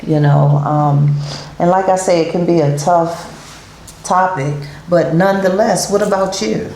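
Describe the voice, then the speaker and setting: A woman's voice: lowish voice, unhurried, darkish tone, echoey room, a little hiss or hum, poor audio. A middle-aged woman hosting a talk show, chatting casually with guests, with many fillers and repeated yeahs. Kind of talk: casual interview chat.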